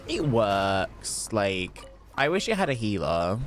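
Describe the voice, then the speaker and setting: A man's voice: fairly low pitch, big pitch swings, slow pace, soft background music. A man streaming a game, chatting casually and rambling while answering chat questions. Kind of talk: casual gameplay commentary.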